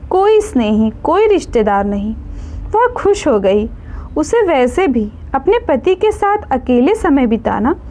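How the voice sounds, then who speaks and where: A woman's voice: high-pitched, dark tone. A woman narrating a scripted dramatic story as a storyteller.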